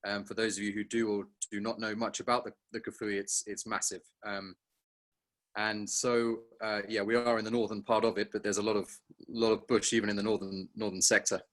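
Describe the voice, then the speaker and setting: A man from England, speaking casually and haltingly as a safari operator on a panel, understated in manner. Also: lowish voice, a bit bright. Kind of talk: casual unscripted panel talk.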